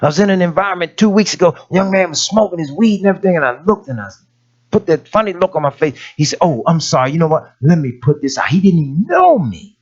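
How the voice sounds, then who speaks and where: Raspy preacher man with lively swings. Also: fairly high pitch, fast, muffled recording. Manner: folksy storytelling preaching, rising to emphatic peaks. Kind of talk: sermon.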